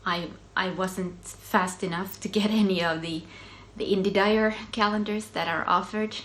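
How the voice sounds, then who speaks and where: A woman's voice: medium pitch, steady tone, moderate pace, a little hiss or hum. A middle-aged woman from Finland with a Finland-Swedish accent, Swedish native, hosting a casual knitting podcast in a chatty way, with many ums and small laughs. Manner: chatty, self-interrupting, soft laughs, frequent ums. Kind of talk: casual solo video podcast.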